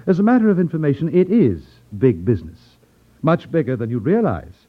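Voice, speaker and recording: An actor playing an elderly, over-polite racketeer boss with veiled menace in a 1950s radio drama, fussy and condescending. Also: male voice, a little high, wildly swooping pitch, fairly quick. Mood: brisk, businesslike. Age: older. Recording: tinny, narrow-band vintage radio recording.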